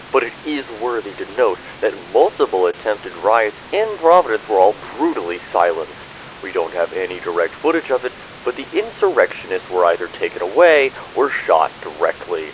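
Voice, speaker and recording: Animated news reporter over hiss, played by a man. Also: deep voice, normal speed. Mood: grave, reporting. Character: serious television news reporter in a zombie outbreak. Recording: hissy, noisy recording.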